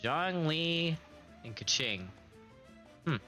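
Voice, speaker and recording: A young man streaming a game in casual chat, recovering from illness and slightly raspy, clearing his throat now and then. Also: fairly high pitch, animated, over music. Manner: slow, chatty, occasional coughs and throat clearing.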